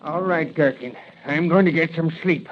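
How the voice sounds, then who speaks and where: An actor playing a genteel, jittery crooked professor given to exclamations in an old-time radio melodrama. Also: male voice, fairly high pitch, moderate pace, soft background music, natural voice. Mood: weary. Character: fussy, jittery male villainous professor. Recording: tinny lo-fi vintage radio recording.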